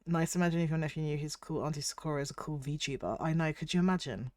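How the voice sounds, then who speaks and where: A young British woman streaming as a VTuber, chatting casually and reading chat aloud while her voice is husky from a cold. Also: deep voice, quick pace, darkish tone, dry recording, studio quality. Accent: British English. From United Kingdom.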